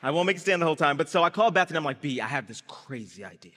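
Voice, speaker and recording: A young man from Brazil, raised in Guyana, giving a lively startup-founder speech on stage. He tells his story with comic timing and talks straight to the crowd. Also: a little high, rapid-fire, a little hiss or hum. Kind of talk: live stage speech with audience call and response. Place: large event hall before an audience. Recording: reverberant hall through a PA.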